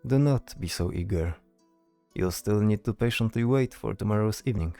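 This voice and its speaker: A man's voice: lowish voice, moderate pace, warm tone, no echo, soft background music, lightly acted. A man voicing a composed, commanding noble knight in a fantasy audio drama, speaking with formal authority.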